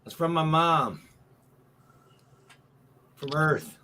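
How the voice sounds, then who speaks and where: A man's voice: a little high, extremely slow, a little hiss or hum. A middle-aged man with a Missouri accent, joking and teasing as a co-host on a casual live morning show. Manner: joking, teasing, lively back-and-forth. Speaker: regular co-host. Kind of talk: casual live-stream banter.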